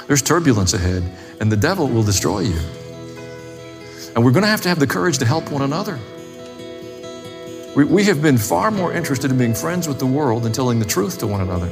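A male pastor delivers a sermon in a big hall with long reverb. His pitch is middling and his delivery is plain-spoken and emphatic, built on short parallel phrases. Faint music sits behind the voice. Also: sing-song, a little fast, pauses often. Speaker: pastor, preacher.

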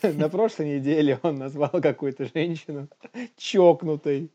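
A man's voice: on the high side, fairly even intonation, normal speed, dark tone, lo-fi sound. A man co-hosting a comedy news show, bantering casually and jokingly in slang and acting out bits.

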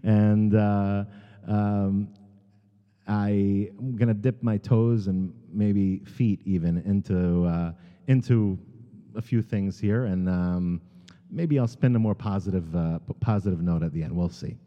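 A middle-aged American man giving a sermon as a guest speaker, halting and earnest, with many ums and restarts. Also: lowish voice, fairly even intonation. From United States. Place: large synagogue sanctuary. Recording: long hall reverb, dull sound.

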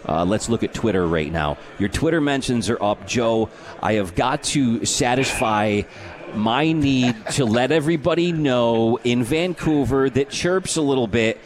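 A man hosting live sports talk radio with an announcer-like delivery. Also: medium pitch. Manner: announcer-like, reads sponsor credits. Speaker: sports radio show host. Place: tavern and grill, live remote broadcast.

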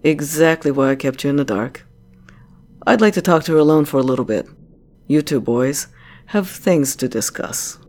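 Woman as a low-voiced, sly corporate director. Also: expressive, moderate pace, a little mellow. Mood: commanding. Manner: teasing, probing, self-assured. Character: commanding female corporate director, sly, curious, teasing. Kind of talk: scripted audio drama acting.

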